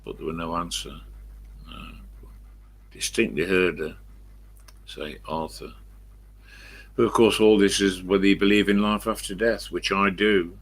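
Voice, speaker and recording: Elderly male live streamer, English accent, low gravel-edged smoker's croak, loose chatty rambling delivery. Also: fairly even intonation, measured pace, pauses often. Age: 70s or older. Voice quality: croaky, husky smoker's voice with a cough. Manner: chatty, mild swearing, answers his own questions. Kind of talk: rambling casual chat to live viewers.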